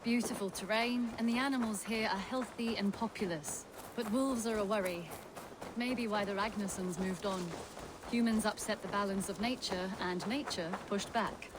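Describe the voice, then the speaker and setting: A woman playing a confident, keen-eyed huntress in a video game, speaking in a measured, articulate way. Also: medium pitch, noisy background, lightly acted. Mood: alert, assured. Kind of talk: video game dialogue acting. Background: game music.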